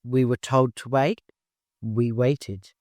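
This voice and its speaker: A man's voice: medium pitch, fairly even intonation, slow pace, darkish tone, dry recording, studio quality, lightly acted. A man voicing a thick-set, stubborn, balding male warrior in an audiobook, curt and challenging. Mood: curt, defiant.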